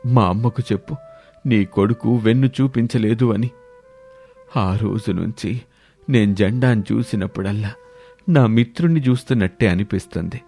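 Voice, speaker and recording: A man's voice: on the low side, dark tone, natural voice. A man playing a dignified, patriotic old army veteran, solemn and earnest, in a moral story.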